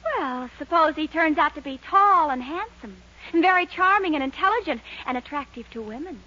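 Muffled vintage radio comedy, young girlfriend, very high pitch, lively swoops, coy, teasing, emphatic. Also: moderate pace. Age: young adult. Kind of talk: 1940s radio sitcom acting. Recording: muffled 1940s radio recording, faint noise.

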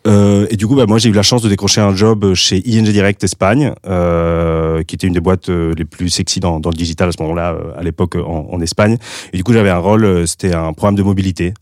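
A man's voice: sits low, steady tone, quick pace, a little mellow, dry recording, clean studio sound. A Spanish man in his thirties with a light Spanish accent, speaking French as a second language, talking through his career in a casual interview, with many restarts and fillers. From Spain. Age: 30s. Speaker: guest.

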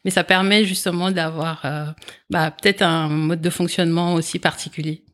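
A woman's voice: lowish voice, fairly even intonation, fairly bright tone. Reflective woman in her forties. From Comoros. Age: 40s. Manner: reflective, speaks in halting phrases with pauses. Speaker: guest, company founder. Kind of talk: conversational interview.

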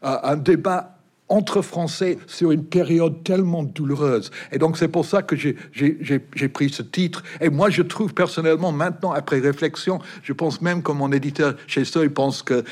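A man's voice: a little high, normal speed, darkish tone. British man speaking with many restarts. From Britain. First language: English. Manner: hesitant, frequent restarts and fillers. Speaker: historian guest. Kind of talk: interview.

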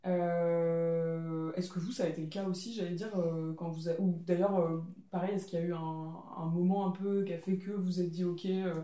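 A woman's voice: on the low side, level tone, moderate pace, hardly any pauses, dark tone. A woman hosting a casual podcast round table, asking questions with many hesitations. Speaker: podcast host. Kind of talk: casual interview chat. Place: living room, around a coffee table. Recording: roomy sound from a distant mic.

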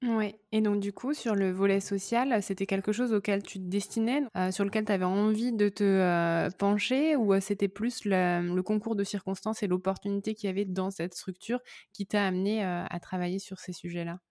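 A woman hosting a podcast, reading her introduction and then asking relaxed questions with a few fillers. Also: mid-range voice, moderate pace, no room sound, studio quality. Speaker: podcast host. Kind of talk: scripted intro then interview.

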